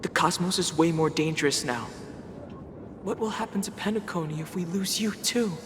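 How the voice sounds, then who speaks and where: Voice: medium pitch, normal speed, big-room sound, noisy background, lightly acted. An actor voicing an anxious, pleading youngster in a video game cutscene. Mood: alarmed, pleading.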